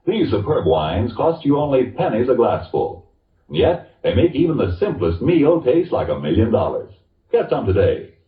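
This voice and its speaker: A man's voice: mid-range voice, roomy sound. An American man delivering a persuasive, emphatic radio commercial in a 1940s announcer style. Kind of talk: 1940s radio advertising pitch. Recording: vintage muffled radio recording, narrow band, distant.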